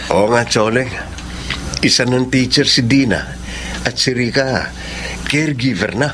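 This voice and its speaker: A man's voice: medium pitch, a little slow, a bit bright, a little hiss or hum, close to an everyday voice. A man playing a meek, grateful father. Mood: content. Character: humble poor father, later a shop owner.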